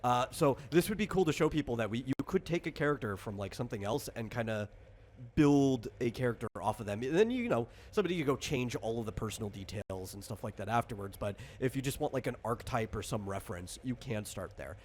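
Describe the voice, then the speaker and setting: A man's voice: medium pitch, expressive, fast, darkish tone, a little hiss or hum. A man hosting and explaining a game in casual chat, thinking aloud in a rambling way. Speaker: game designer and host.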